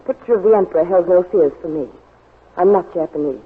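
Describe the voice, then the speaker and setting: A woman's voice: on the low side, a little fast, husky. Earnest woman spy, old radio drama. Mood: urgent, earnest. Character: brave, earnest woman spy in love, working for China. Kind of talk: 1940s radio drama acting. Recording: muffled old 1940s radio broadcast, faint music.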